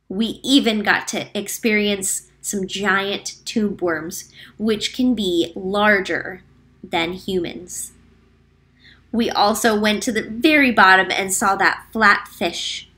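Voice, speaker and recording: A woman reading a picture book aloud to children as a teacher, clear and explanatory, slowing down on key facts. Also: medium pitch, pushed and bright. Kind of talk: read-aloud storytime narration.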